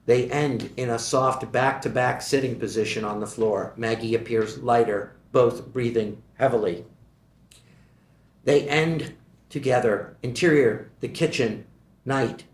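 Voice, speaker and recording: A man reading the stage directions of a screenplay at a table read in a steady, measured voice. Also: mid-range voice. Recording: echoey room.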